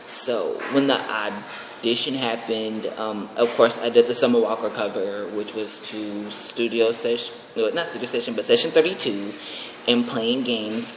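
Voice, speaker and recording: Echoey, rambling young vlogger chatting casually. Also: medium pitch. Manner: rambling, excitable. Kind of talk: casual chat to camera. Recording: echoey room with clear background noise.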